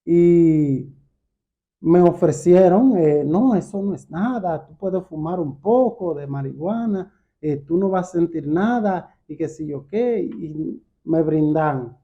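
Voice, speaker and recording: A man with a Dominican accent giving a personal testimony as a guest, halting and repeating words as he goes. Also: fairly high pitch, lively intonation, dark tone. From Dominican Republic. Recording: dull, muffled lo-fi sound.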